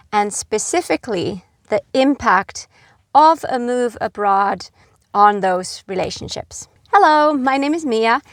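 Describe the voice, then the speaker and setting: A middle-aged woman from Finland giving earnest, gentle advice to camera, with frequent fillers like "you know". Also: fairly high pitch, dry recording, clean studio sound. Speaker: expat vlogger.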